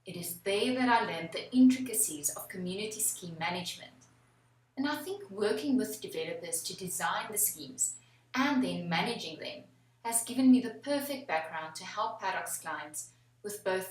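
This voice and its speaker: A young woman with a South African accent and Afrikaans as her first language, an attorney giving formal, measured answers in an interview. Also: medium pitch, expressive, distant mic. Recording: echoey room, away from the mic.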